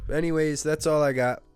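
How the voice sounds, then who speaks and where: A man's voice: a little high, steady tone, normal speed, a little mellow, smooth, dry recording, soft background music. A man with a stuffy nose from a cold, chatting casually on his gaming channel in a rambling, self-mocking way with jokey asides. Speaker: gaming video host. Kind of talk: casual solo speculation to camera. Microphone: desk microphone.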